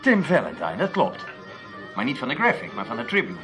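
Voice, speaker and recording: A man playing a self-controlled, brisk newspaperman who takes charge in a radio drama, speaking with deliberate authority. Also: mid-range voice, huge pitch swings, fairly bright tone, soft background music. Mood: coolly casual. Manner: commanding, deliberate.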